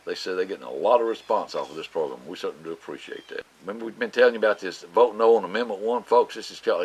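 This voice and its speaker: A middle-aged Southern man hosting a local farm show, rambling through announcements with lots of "uh"s, his voice deepened by a cold. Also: breathy edge. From Florida Panhandle, US. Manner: drawling, rambling, many "uh"s and restarts.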